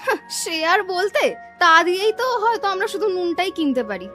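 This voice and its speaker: A woman's voice: extremely high pitch, animated, fairly quick, a bit bright, faint music behind. A woman voicing a mean, stingy daughter-in-law in a children's cartoon, with a sneering, sarcastic manner. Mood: scornful.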